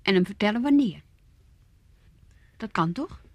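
A firm young woman in a radio play, fed up with excuses. Her husky voice presses and reproaches, recorded close and dry. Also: medium pitch, animated, a little slow, a little mellow, dry recording. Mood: insistent. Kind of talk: Dutch radio play acting.

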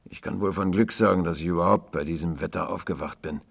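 Man as a very deep, gravelly, weary tramp. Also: fast, heavily acted. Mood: bemused. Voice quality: growling. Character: weary, grim, down-at-heel gentleman tramp, calm and brooding.

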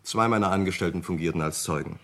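A discreet solicitor from a radio crime play. His voice is deep, and he speaks in a formal, measured way, precise and obliging. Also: a bit bright. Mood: formal, matter-of-fact.

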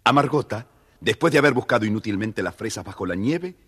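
A man narrating a children's storybook in an expressive, theatrical way. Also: on the low side, a little fast, husky.

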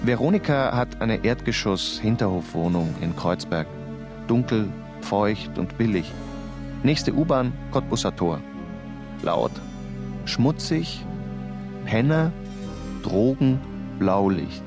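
A doubtful young letter-writer. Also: male voice, fairly low pitch, expressive, slow, pauses often, music in the background. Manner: questioning, doubtful. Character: young male theatre worker writing letters. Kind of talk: radio drama narration and dialogue.

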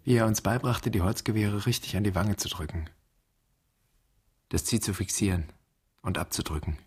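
An actor playing the restless, doubting inner voice of a son in a poetic radio monologue, vivid and searching. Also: male voice, lowish voice, normal speed, a little mellow, husky. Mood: urgent remembering.

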